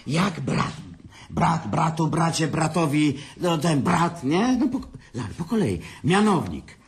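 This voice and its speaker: An actor playing a bitter, morbid, hard-drinking brother in an audio drama, halting, repeating words and trailing off. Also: male voice, fairly high pitch, big pitch swings, heavily acted. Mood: boisterous. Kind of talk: naturalistic audio drama acting.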